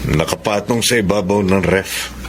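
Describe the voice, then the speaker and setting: An actor playing a gentle, self-pitying, ailing husband in a radio melodrama, hesitant and plaintive in delivery. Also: male voice, sits low, moderate pace, background noise. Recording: studio radio drama with music underneath. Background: clear background music.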